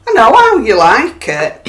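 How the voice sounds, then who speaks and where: A woman's voice: a little high, huge pitch swings, slow, slightly rough, exaggerated voice. A woman acting a weary, put-upon Yorkshire housewife in a radio play, with a dry, grumbling way of talking. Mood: fussing. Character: weary, put-upon married northern English housewife, unimpressed.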